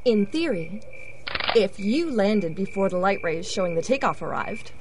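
An actress playing a witty, self-assured quantum physicist in an audio drama, explaining things in a wry way. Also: female voice, medium pitch, lively intonation, normal speed, background noise, natural voice. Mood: wry, explanatory. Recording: outdoor night ambience with crickets, frogs. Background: crickets and frogs.

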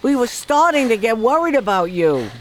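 A woman's voice: fairly high pitch, very animated, normal speed, a little hiss or hum. A woman playing a motherly good fairy aunt who is kind, careful and firm. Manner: soothing, then firm and careful. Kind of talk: audio drama acting.

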